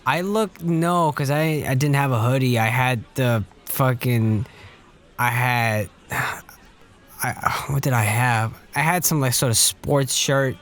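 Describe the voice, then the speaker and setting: A young man co-hosting a podcast, telling stories in relaxed, self-mocking casual chat. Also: medium pitch, takes it slowly. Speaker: podcast co-host.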